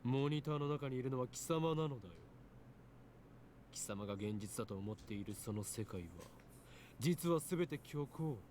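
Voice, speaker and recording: An actor voicing an arrogant, grandiose young self-styled mad scientist in a Japanese visual novel, theatrical and taunting. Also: male voice, medium pitch, lively intonation, fast, darkish tone, slight background noise, lightly acted. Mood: mocking.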